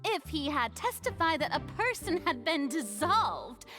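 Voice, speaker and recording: An actress playing a formal, theatrical young woman who questions people deliberately in a video game courtroom drama. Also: high voice, big pitch swings, fairly bright tone, faint music behind, heavily acted. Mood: measured, probing.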